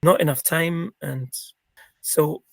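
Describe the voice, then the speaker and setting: A middle-aged man with a croaky, gravelly voice, chatting as an interview guest and rambling with many ums and restarts. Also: a little high, fairly even intonation, slow pace, no room sound. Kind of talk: casual interview chat.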